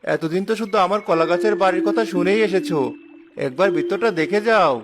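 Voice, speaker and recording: A man's voice: sits high, fairly quick, a crowd in the background, lightly acted. A man playing a hospitable, proud village neighbour in a cartoon. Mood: welcoming, proud. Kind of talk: cartoon dialogue.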